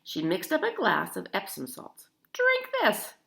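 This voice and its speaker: A woman's voice: fairly low pitch, wildly swooping pitch, normal speed, gravelly, background noise. A woman reading a children's story aloud in a theatrical, emphatic way, with chatty asides to the listener. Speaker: storybook reader.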